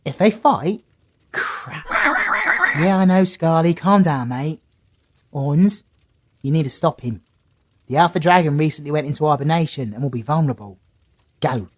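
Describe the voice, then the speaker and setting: A man's voice: a little high, moderate pace, long pauses, natural voice. A man doing a cheeky British adventurer. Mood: playful. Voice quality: chatty, slangy, playful. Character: cheeky young British adventurer, brash and joking. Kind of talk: audio roleplay acting.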